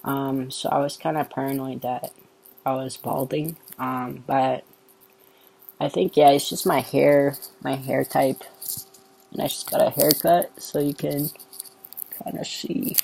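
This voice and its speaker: A man with a soft, androgynous-sounding voice, talking through a casual update vlog in a relaxed, unhurried way. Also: mid-range voice, vocal fry, breathy edge, rough recording. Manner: relaxed and unhurried, with frequent ums. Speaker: vlogger.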